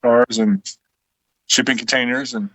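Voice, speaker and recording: American male guest, mid-pitched, wry and conversational, recorded dry and close. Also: animated, measured pace, a bit bright, dry recording, poor audio. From United States, Illinois. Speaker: guest voice performer. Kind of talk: casual chat.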